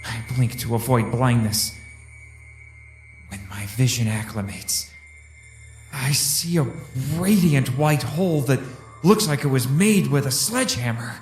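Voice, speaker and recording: A man playing an anguished survivor narrating his drift through oblivion in a solemn, hushed, dramatic audio drama style. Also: mid-range voice, lively intonation, a little slow, natural voice. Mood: awed, haunted. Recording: echoing voice with music underneath.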